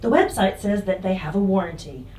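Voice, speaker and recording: A woman's voice: mid-range voice, expressive, a little fast, dark tone, a little hiss or hum. A woman acting as interviewer, casually asking simple beginner questions, recorded with a roomy echo. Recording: roomy, echoing voice pickup.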